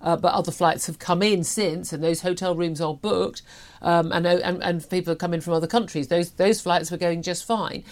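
A woman's voice: lowish voice, steady tone, normal speed. A British woman in her fifties talking through the news as a talk show host, chatty, emphatic and opinionated. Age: 50s.

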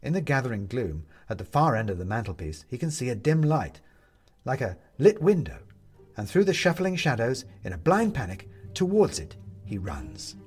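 Male narrator, dramatic audiobook storytelling, mid pitch, highly varied intonation. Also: big pitch swings, moderate pace, slightly rough, soft background music.

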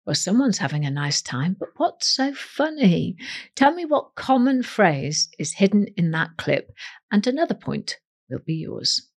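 A woman hosting a quiz in a scripted, playful style, speaking directly to listeners with a husky voice. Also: medium pitch, big pitch swings, a little slow. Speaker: quiz show host.

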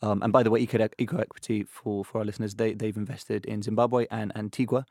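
A man's voice: on the low side, flat delivery, fast, creaky, mellow, no room sound, studio quality. A man hosting a business podcast interview, stumbling and repeating words as he asks questions. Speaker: podcast host.

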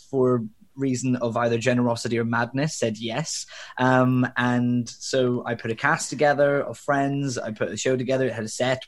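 Young guy w a faint northern irish accent, interview guest, rambly and reflective, mid-pitched voice. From Northern Ireland. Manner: reflective, rambling with fillers and restarts. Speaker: guest, theatre director. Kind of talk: conversational interview.

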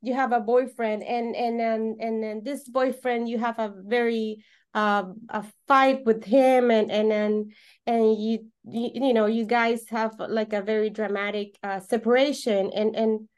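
A woman from Venezuela with a Venezuelan Spanish accent explains things as an interview guest, repeating words for emphasis in halting English. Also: a little high, flat delivery, smooth. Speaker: podcast guest, holistic coach.

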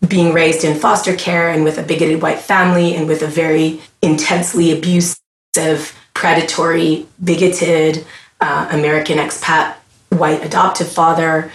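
A woman with a Canadian accent talks in a lowish voice with earnest conviction. She often stops and restarts her sentences as she works out what she means. The room around her sounds echoey. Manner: earnest, impassioned, restarts often mid-thought. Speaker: podcast guest. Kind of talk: casual conversation.

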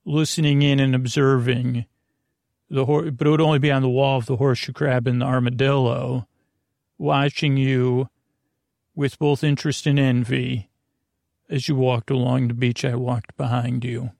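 A man hosting a bedtime podcast in a gravelly, creaky voice, rambling haltingly, trailing off and circling back. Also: medium pitch, little pitch movement, darkish tone, no room sound, clean studio sound. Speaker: podcast host.

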